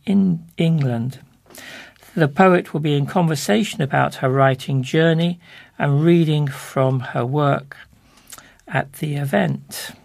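A man presenting local information, reading out listings and phone numbers in measured, deliberate short phrases. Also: on the high side, creaky, darkish tone, dry recording.

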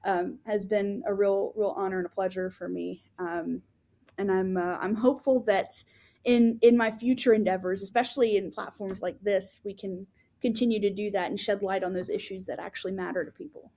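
A woman co-hosting a podcast, telling her own story in an earnest, casual way, often pausing with ums and restarting her sentences. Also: mid-range voice, fairly even intonation, dark tone. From Florida.

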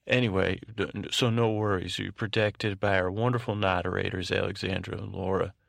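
Gravelly, low-voiced man rambling at bedtime. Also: fairly even intonation, no echo, clean studio sound. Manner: halting, digressive, trailing off and restarting mid-thought; whimsical, tongue-in-cheek. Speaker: podcast host. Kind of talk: rambling, meandering bedtime monologue meant to lull listeners to sleep.

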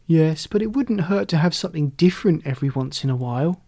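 A woman's voice: low voice, animated, fast talker, mellow, dry recording, studio quality. A woman doing a kind little boy kitten. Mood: friendly.

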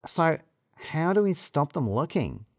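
A man plays a practical office colleague in an audio story. The voice is lifted in pitch, with short questioning phrases and a dry, close sound. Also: sing-song, a little slow, dry recording.

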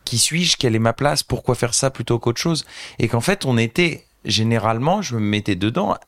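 A French man in his thirties, a social entrepreneur telling an animated anecdote as an interview guest. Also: mid-range voice, moderate pace. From France. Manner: animated anecdotal storyteller, addresses host directly. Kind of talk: casual storytelling interview.

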